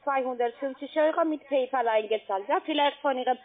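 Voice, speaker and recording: A woman's voice: high voice, a little fast. A woman with a foreign accent in German, selling over the phone as a call centre agent and often tagging statements with a checking question. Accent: foreign, non-native German. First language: not German. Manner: frequent checking tag questions. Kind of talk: phone sales pitch. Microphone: telephone. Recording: muffled narrow phone line.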